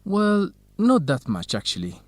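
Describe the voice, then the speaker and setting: An actor playing a modest, easygoing male university researcher in an educational radio drama, polite, low-key and frank. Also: huge pitch swings, a little slow, darkish tone, no echo, exaggerated voice. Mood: modest, open.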